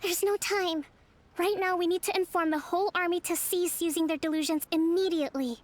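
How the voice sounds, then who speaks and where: A composed young army leader. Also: female voice, very high-pitched, fairly even intonation, fairly quick. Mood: urgent. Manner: composed, commanding. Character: young female army leader, calm and decisive. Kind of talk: game voice acting. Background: game music.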